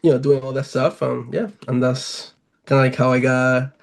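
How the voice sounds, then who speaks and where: A young man with a Latin American accent speaks casually, as in a sports interview. His pitch is medium and stays very even, with little rise and fall. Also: normal speed, vocal fry. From Venezuela. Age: 20s. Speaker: professional baseball player, interview guest.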